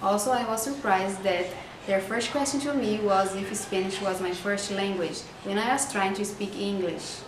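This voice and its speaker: A woman's voice: medium pitch, steady tone, moderate pace. Brazilian woman, echoey and far off. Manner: recites short prepared statements. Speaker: international student. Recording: echoey room from far off, noisy.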